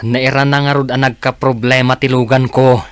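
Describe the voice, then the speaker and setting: A man's voice: mid-range voice, little pitch movement, moderate pace, lightly acted. A man playing an evasive, reluctant new husband in a radio drama. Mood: guarded, evasive. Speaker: audio drama actor.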